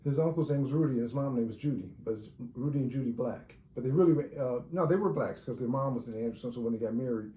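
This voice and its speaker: A man chatting casually about family ancestry, pausing often and restarting as he explains details. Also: mid-range voice, warm tone. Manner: halting, many fillers and restarts while recounting genealogy. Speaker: relative talking about family research. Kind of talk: casual conversation. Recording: echoey room.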